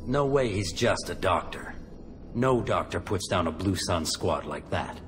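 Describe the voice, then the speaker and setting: A man's voice: low voice, expressive, measured pace, fairly bright tone, faint music behind. A man voicing an aggrieved, suspicious slum refugee in a video game. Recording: distant, echoey game audio, faint noise.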